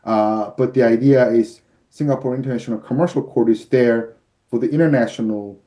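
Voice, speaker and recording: A man's voice: mid-range voice, brisk, dark tone. A man in his fifties from the United States, a guest arbitrator explaining his field over a video call from an office, with frequent fillers. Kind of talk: explanatory interview answers. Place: office with shelves, indoors. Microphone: video call webcam.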